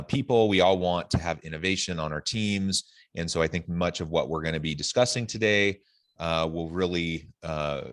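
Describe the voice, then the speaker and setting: A man with a low voice hosts a podcast interview. He talks in measured phrases and stretches certain words for emphasis. The sound is very dry, with no room around it. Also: no echo. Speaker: podcast host. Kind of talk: podcast interview with a read-out guest introduction.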